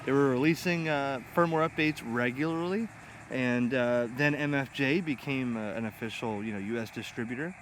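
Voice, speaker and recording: A man's voice: mid-range voice, moderate pace. A middle-aged American man talking casually and chattily, as an amateur radio vlogger demonstrating gear. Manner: casual, chatty, spells call signs phonetically. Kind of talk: casual demonstration to camera with radio contact calls. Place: outdoors in a grassy yard by a pond. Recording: loud outdoor ambient noise.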